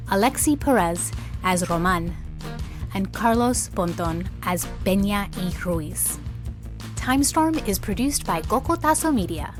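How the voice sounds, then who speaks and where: A woman reading scripted credits and announcements as the host of a children's podcast. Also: medium pitch. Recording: clear music bed under voice.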